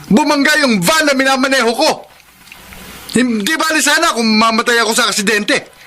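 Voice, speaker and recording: An actor playing Simon, a tired working father and van driver who argues with his wife and then plots in hushed tones with a friend. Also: very high-pitched, moderate pace. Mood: defensive. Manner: tired then argumentative, later hushed and conspiratorial. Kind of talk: classic radio drama acting. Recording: noisy old radio drama recording.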